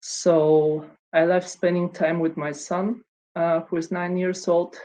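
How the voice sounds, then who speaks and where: Woman, flat delivery. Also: on the low side, slow pace, slight background noise. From Bulgaria. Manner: hesitant, many ums and restarts. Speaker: conference speaker. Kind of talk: online conference talk.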